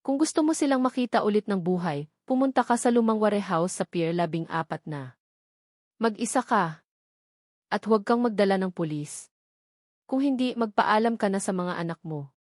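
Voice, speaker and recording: A woman's voice: mid-range voice, expressive, normal speed, darkish tone, dry recording, studio quality, close to an everyday voice. A woman with a Filipino accent voicing a threatening male kidnapper villain in a dramatic story. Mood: menacing. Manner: threatening, commanding.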